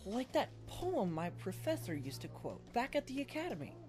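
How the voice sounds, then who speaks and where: A woman's voice: on the high side, very animated, normal speed, darkish tone, soft background music. A woman playing a scholarly, wry squad member in an audio drama. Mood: reciting.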